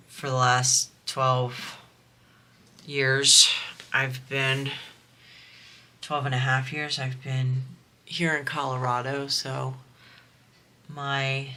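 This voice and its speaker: Crafting vlogger, woman, nasal and bright, chatty and meandering. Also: extremely low pitch. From US East Coast. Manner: rambling, chatty, slow. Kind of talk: casual chat while diamond painting.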